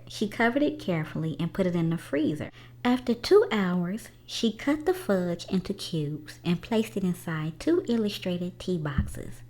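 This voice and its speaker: A woman reading a children's story aloud as a storyteller, with a dramatic, expressive delivery. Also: mid-range voice.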